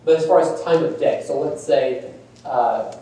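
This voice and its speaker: A man's voice: mid-range voice, a little slow, warm tone, a little hiss or hum. A man presenting a workshop at a nonprofit conference, talking casually with fillers. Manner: casual, conversational, many fillers. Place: large echoey conference room. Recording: echoey room, distant mic.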